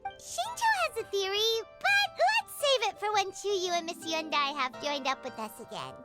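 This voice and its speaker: A woman voicing a tiny, excitable, chatty companion sprite in a video game, expressive and easily flustered. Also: very high-pitched, normal speed. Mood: excited. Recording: music under the voice.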